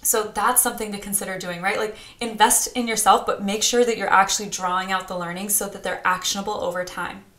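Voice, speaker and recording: A woman in her thirties coaching on grant writing in a casual, energetic talk, gesturing as she speaks from a small home studio. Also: mid-range voice, a little fast, hardly any pauses, reverberant. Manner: energetic and chatty, talks with her hands. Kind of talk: direct-to-camera teaching vlog.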